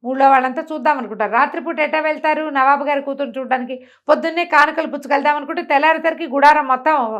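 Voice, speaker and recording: A woman telling a folk tale in an animated, conversational way, acting out the characters' exclamations. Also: high voice, rapid-fire, highs cut off. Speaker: storyteller. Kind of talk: animated oral folk storytelling to a listener.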